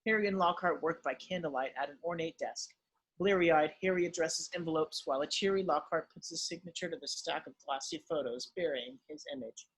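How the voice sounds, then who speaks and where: A man's voice: a little high, a little fast, fairly bright tone, slightly rough, poor audio. A man narrating screenplay directions at an amateur table read.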